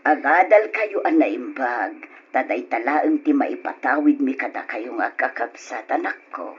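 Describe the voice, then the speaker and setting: Very husky older mother. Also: very deep voice, animated, unhurried, heavily acted. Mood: earnest. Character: older mother, caring, earnest, advising her child. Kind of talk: old radio drama acting. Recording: dull, lo-fi old radio drama.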